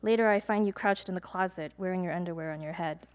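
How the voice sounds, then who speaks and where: A young adult woman, a poet, reading her poems aloud at a live event, measured and deliberate, with chatty, hesitant asides between pieces. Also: lowish voice, slight background noise. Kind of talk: poetry reading with casual asides to an audience.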